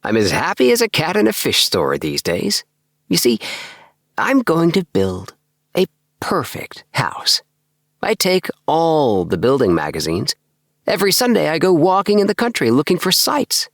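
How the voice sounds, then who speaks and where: A man voicing an excitable, earnest young dreamer in an audiobook, with an emphatic, self-satisfied manner. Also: on the high side, a little slow, dry recording, studio quality, pitched above the performer's own voice, full character voice. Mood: eager, triumphant. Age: young adult. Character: excitable young dreamer, eager, earnest, obsessed with house plans. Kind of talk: acted audiobook dialogue.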